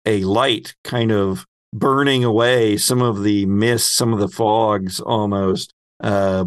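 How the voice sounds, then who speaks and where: A man acting as game master, improvising descriptive narration of a tabletop game in halting phrases. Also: lowish voice, no room sound.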